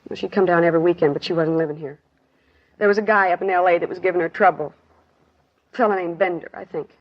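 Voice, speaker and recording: A woman's voice: on the low side, steady tone, fast talker, natural voice. An actress in a 1950s radio drama playing a weary, grieving working-class woman who tells her story in plain, clipped sentences. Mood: sorrowful. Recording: dull 1950s radio recording.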